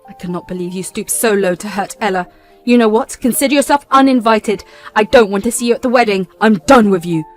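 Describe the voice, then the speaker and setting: A woman voicing an angry young man who speaks loud and stern to his sister. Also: a little high, moderate pace, soft background music, natural voice.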